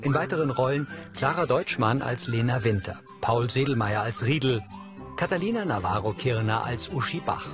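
A male narrator reads scripted audio description in a measured, neutral manner. His pitch is average for a man. Music plays clearly behind him. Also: animated.

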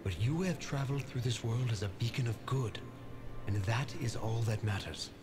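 A man voicing an ancient talking sword in a game, calm and measured like a sage. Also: medium pitch, over music, natural voice. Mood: solemn. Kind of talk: game cutscene dialogue.

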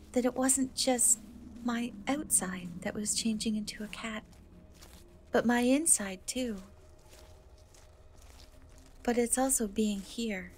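A woman playing a gentle, wistful young woman cursed into a half-cat, in a soft, hesitant dark fantasy ASMR roleplay. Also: fairly high pitch, slight background noise. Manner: hesitant, soft, slow, often trailing off.

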